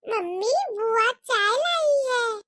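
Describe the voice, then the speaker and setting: A woman's voice: very high-pitched, expressive, takes it slowly, bright, forceful tone, dry recording, clean studio sound, heavily acted. A woman voicing a small girl calling out to her mother. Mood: eager. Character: young girl, eager small child.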